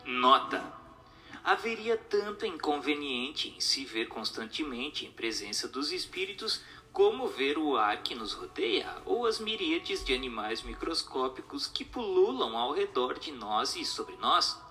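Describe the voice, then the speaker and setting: A man delivers scripted narration in a formal, didactic way. His pitch moves in a lively manner, and music plays behind him. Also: a little slow. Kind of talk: scripted audiobook-style narration.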